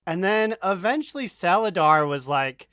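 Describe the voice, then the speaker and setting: A man with a fairly high voice talks casually on a podcast. The sound is dry, without room echo. He retells a story jokingly, tossing in made-up lines of dialogue. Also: lively intonation, moderate pace, fairly bright tone, smooth. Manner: joking, riffs in imagined quotes. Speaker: podcast co-host.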